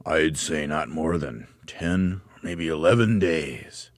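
Man playing mean old husband, mocking, gleefully cruel, emphatic, gravelly, animated swings, storybook villain. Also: deep voice, no echo, exaggerated voice. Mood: mock solemn. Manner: emphatic, taunting, drawn-out pronouncements. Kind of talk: theatrical children's storybook reading.

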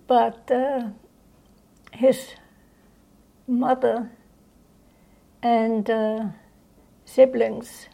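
An elderly woman from Austria recalling her life at home in an unscripted way, halting as she searches for words. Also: fairly high pitch, gravelly, warm tone, low-quality recording. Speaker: interviewee recounting life history. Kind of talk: unscripted reminiscence. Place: indoors in own home.

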